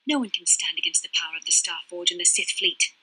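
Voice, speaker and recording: An actress playing a proud young woman fallen to the dark side, intense and haughty in a dramatic video game style. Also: on the low side, quick pace, husky, heavily acted, rough recording. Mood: scornful, defiant.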